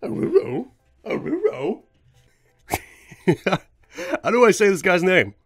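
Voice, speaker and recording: A man with a very animated, mid-pitched voice jokes his way through retakes. It is recorded dry, with music underneath. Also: slow pace, breathy edge, dry recording. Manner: joking, self-mocking, mumbling. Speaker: amateur voice actor. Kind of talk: outtake chatter and line retakes.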